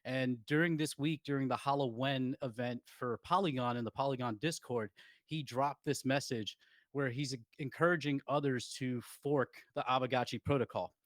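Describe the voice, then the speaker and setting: A man's voice: medium pitch, fairly even intonation, normal speed, no echo. A man on a community call raising a concern, speaking hesitantly and carefully, with sighs and restarts. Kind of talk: casual call discussion.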